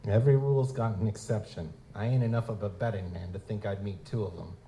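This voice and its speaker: A man's voice: fairly low pitch, steady tone, fast, warm tone, slight background noise, lightly acted. A man playing a weary, wisecracking private eye in a noir audio drama, tough, slangy and sardonic. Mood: skeptical. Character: street-level green goblin-like male private detective, world-weary, wisecracking, wary. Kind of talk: hardboiled noir audio drama narration and dialogue. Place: live stage performance at a convention.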